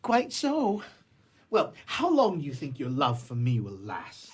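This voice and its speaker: A man's voice: mid-range voice, wildly swooping pitch, a little slow, slightly rough, heavily acted. A man acting a love-struck, cynical young man in a comedy radio play, theatrical and florid, blustering and stammering. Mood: reckless ardour.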